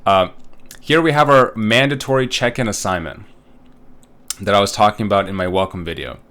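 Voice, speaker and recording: Male college instructor, thirties, from Uzbekistan; low voice, loose and chatty delivery with plenty of fillers. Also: moderate pace, fairly bright tone. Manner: casual, rambling, with many fillers and tag questions. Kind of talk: recorded online course walkthrough.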